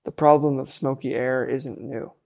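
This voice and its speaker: A man reading scripted news narration as a reporter, in a measured delivery. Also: mid-range voice, fairly even intonation, gravelly, darkish tone.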